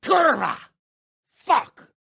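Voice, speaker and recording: A gravelly, blustering old lord in a video game, his voice bright and sharp-edged. He swings wildly up and down in pitch as he barks and curses. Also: big pitch swings, drawn-out words, dry recording, clean studio sound, heavily acted. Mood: furious. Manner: gruff, swears loudly. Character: blustering hot-tempered older male lord. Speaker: nobleman lord. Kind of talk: video game cutscene acting.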